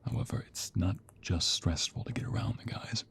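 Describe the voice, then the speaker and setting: A man playing a tender, protective mobster fiancé in an ASMR roleplay, hushed and intimate, reassuring the listener in a breathy murmur. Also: normal speed, lightly acted. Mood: hushed, sheepish. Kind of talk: ASMR roleplay audio drama.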